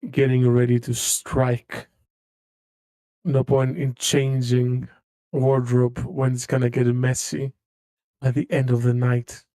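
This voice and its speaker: Male tabletop player, mid pitch, monotone delivery, terse deadpan in-character banter. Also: slow, no echo. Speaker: player in a tabletop role-playing game.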